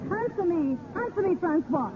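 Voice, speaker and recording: Casting: fiery, scolding young tavern wench, high animated voice, vintage radio melodrama, very noisy recording. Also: female voice, normal speed. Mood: jealous, demanding. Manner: demanding, scolding. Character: fiery, jealous young tavern beauty in medieval Paris. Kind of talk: 1930s radio acting. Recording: noisy, tinny 1930s radio broadcast recording.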